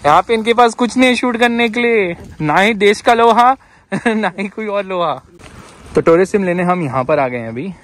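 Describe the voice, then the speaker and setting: A chatty man in his thirties vlogging from a handheld camera, joking as he walks through the cold and around a small shop. His voice sits a little high for a man, with faint background hiss. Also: normal speed. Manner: chatty, joking, lively narration while walking and shopping. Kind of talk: casual travel vlog. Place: outdoors in cold weather, a supermarket, a motorhome. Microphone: handheld action camera.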